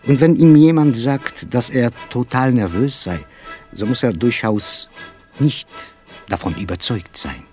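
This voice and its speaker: Male actor as quirky aging poet, mid-pitched, mannered and emphatic stage monologue, music present. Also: unhurried, a little mellow, close to an everyday voice. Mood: whimsical insistence. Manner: emphatic, mannered, courteous, circling repetitive self-talk. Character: aging, slightly nervous, whimsical poet with quirks, defiantly cheerful. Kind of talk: theatrical literary monologue with music.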